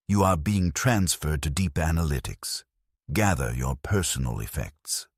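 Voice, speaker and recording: A man's voice: a bass voice, expressive, a little slow, breathy edge, dry recording, clean studio sound, full character voice. A man reading a stern cyborg interrogator. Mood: commanding. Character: stern male cyborg interrogator, cold and commanding.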